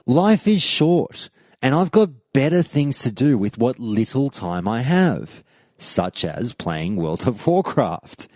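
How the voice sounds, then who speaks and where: A man hosting a podcast and giving his opinion in a measured, deliberate monologue with firm emphasis. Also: medium pitch, big pitch swings, a little mellow, no echo, clean studio sound. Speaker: podcast host.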